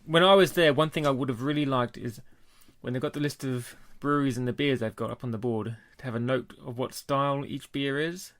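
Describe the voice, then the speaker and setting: A man co-hosting a casual podcast, chatting in a relaxed, talkative way and laughing easily. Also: mid-range voice, a little fast, hardly any pauses, no echo. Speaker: podcast co-host. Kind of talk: casual chat.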